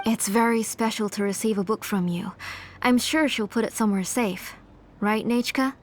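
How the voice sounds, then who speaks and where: A woman's voice: on the high side, moderate pace, slight background noise, natural voice. A woman playing a polite, protective but controlling aunt in a video game, composed and formal. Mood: gracious.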